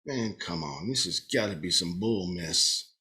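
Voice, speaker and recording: Man playing eager police inspector, comedy table read: chummy, jittery, very lively intonation. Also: sits low, measured pace, full character voice. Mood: muttering, doubtful.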